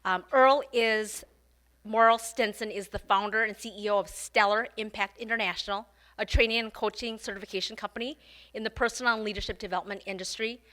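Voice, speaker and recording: A woman's voice: medium pitch, steady tone, a little fast, pushed and bright. A woman hosting a business club meeting, giving announcements and introducing the speaker in a friendly way, with many fillers. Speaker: event host.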